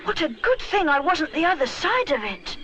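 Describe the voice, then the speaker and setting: A woman's voice: high-pitched, big pitch swings, moderate pace, noisy background, voice pushed up. A woman voicing a kindly, polite little boy bear in a children's story, childlike. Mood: shaken. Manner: polite, well-spoken, childlike.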